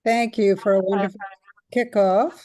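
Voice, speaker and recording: A woman speaking as a new board chair on a video call, earnest and pausing with fillers. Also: mid-range voice, steady tone, mellow, dry recording, studio quality.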